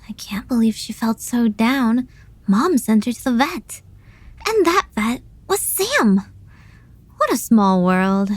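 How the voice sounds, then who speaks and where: A woman's voice: on the high side, no echo, exaggerated voice. A woman playing a worried, reflective sister thinking aloud in an audio drama monologue, musing and dramatic.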